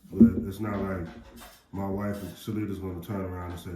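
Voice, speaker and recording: A middle-aged American man with a deep voice, chatting casually in a rambling, plain-spoken way as a parent giving advice. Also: level tone, normal speed. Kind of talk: casual chat. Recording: roomy echo, faint background noise.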